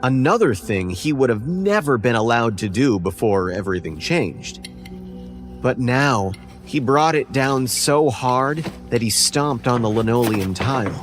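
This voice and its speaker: A man's voice: medium pitch, lively intonation, a little slow. A man narrating a scripted first-person horror story as its narrator. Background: faint music.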